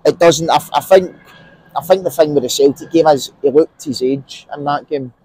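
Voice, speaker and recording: A man's voice: fairly high pitch, moderate pace, a little mellow, breathy edge, low-quality recording. A young Scottish man giving casual, opinionated football talk outdoors in an empty stadium, on a lapel mic, with many fillers and restarts. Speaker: podcast co-host.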